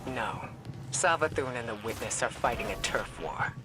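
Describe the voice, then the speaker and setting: An actress playing a stern, sceptical intelligence chief in a video game, clipped and exacting. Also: female voice, very deep voice, normal speed, loud music behind the voice.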